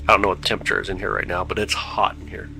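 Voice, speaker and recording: A man's voice: sits low, flat delivery, very fast, vocal fry, sharp tone, low-quality recording. A man hosting a live telescope stream, chatting casually with viewers in a laid-back, slangy way, his voice stuffed up from congestion. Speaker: livestream host of telescope views.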